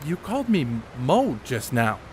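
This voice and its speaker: Man playing a brainy, dry-witted, lively-pitched ballplayer. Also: a little slow, a little hiss or hum. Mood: wry teasing. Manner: explanatory, dry wit. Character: brainy, secretive ballplayer, adult man, dryly matter-of-fact. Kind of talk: radio play acting.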